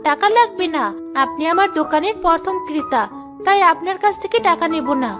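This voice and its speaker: Female actor as polite village mum, cartoon, very high voice, gentle manner, music behind. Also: animated, fairly quick. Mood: gentle, welcoming. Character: kind, polite village mother running a tea stall. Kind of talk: Bengali cartoon dub.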